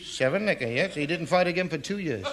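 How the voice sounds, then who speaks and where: An actor playing a shady, breezy Cockney wrestling promoter in 1950s British radio comedy, talking fast in matter-of-fact slang. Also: male voice, fairly high pitch, expressive, close to an everyday voice. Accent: London Cockney. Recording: noisy old radio recording.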